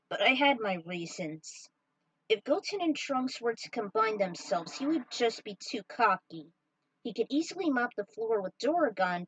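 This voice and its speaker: A woman's voice: medium pitch, no room sound, recorded from a distance, low-quality recording. Informal woman narrator, a bit off-mic. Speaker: fan fiction writer and narrator. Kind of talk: reading own story aloud and chatting to followers.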